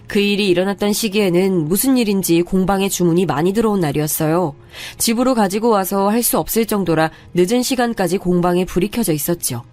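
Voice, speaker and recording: A woman's voice: mid-range voice, darkish tone. Confiding woman narrating a story. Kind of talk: first-person story narration.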